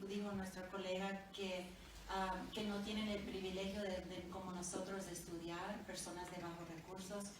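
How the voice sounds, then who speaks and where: A woman's voice: medium pitch, background noise. Hesitant woman, echoey distant room. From Honduras, raised in the United States. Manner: hesitant, searching for words with fillers. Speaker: family therapist and researcher. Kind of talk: book presentation remarks.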